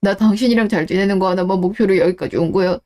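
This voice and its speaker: A woman's voice: medium pitch, quick pace, a little mellow, natural voice. A woman voicing an earnest, emotional husband, loyal to his wife and embittered, in a melodramatic radio drama. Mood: pleading.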